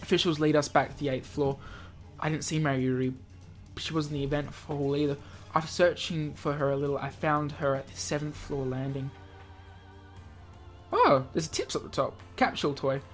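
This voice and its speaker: Let's player reading a visual novel out loud, stumbles a bit, jokey comments, music in the background. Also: mid-range voice, normal speed. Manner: stumbles over words, jokey asides. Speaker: let's play video host.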